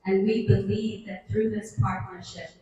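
A woman's voice: medium pitch, steady tone, unhurried, mellow, lo-fi sound. A woman who runs a real estate firm, standing with a handheld mic and giving an earnest, formal speech in a room lined with bookshelves. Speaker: real estate company CEO. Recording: roomy hall, picked up from far off.

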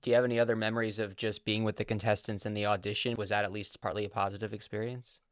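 A young male host asks his questions quickly and directly over a video call. His voice is fairly low and very fast. The sound is dry and close to the mic. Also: flat delivery, dry recording. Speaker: podcast host. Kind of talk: interview questions. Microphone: studio mic on a boom arm, headset on.

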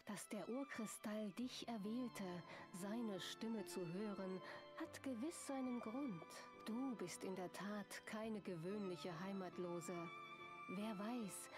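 A woman plays a serene seer and leader in a fantasy game. She speaks slowly and formally at a middle pitch, with gentle authority, over background music. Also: close to an everyday voice. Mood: solemn, reverent. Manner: measured, solemn, formal. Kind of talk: scripted dramatic game narration and dialogue.

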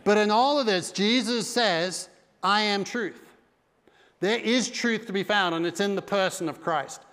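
A middle-aged pastor preaches with emphatic energy, his voice pitched high for a man. He speaks into a headset mic, and the big church hall rings with a long reverb behind him. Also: unhurried, fairly bright tone, clean tone. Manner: emphatic preaching with broad hand gestures. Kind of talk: sermon. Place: large dark church stage.

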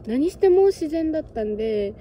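A young Japanese woman with a high but mellow voice talks casually into a phone camera outdoors. She muses and chats in a relaxed vlog style, with faint open-air ambience behind her. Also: normal speed, a little hiss or hum. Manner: chatty, musing, talks to birds and cats in a sing-song voice. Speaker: travel vlogger. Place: outdoors on a windy hilltop fortress. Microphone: phone camera close by.

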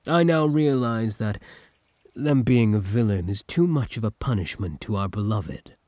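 A man playing an obsessive, lovesick older villain from the future in an audio roleplay, commanding and intimate, with a husky voice. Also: fairly low pitch, animated, unhurried, a little mellow, no room sound. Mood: commanding, possessive. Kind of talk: scripted ASMR roleplay acting.

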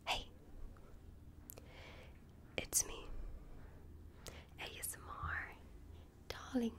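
A woman in her twenties whispering slowly and soothingly in an ASMR style, up close to a desk microphone. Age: 20s. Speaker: ASMR video creator. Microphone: large desk microphone up close.

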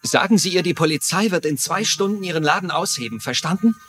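An actor playing an ambitious, smooth-talking politician in a dubbed TV mystery, with a husky voice and persuasive manner. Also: male voice, fairly high pitch, expressive, fast, over music, close to an everyday voice. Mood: urgent. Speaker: actor in a German dub.

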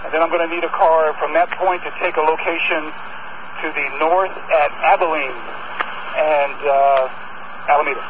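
A male police officer giving halting, procedural instructions over a police radio. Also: a little high, steady tone. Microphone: two-way radio. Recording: distant muffled noisy police radio.

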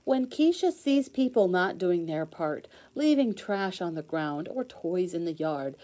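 Slow, expressive woman reading to kids. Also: on the low side. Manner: expressive, sing-song rhymed reading with clear emphasis. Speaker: teacher reading aloud to kids. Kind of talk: children's storybook read-aloud.

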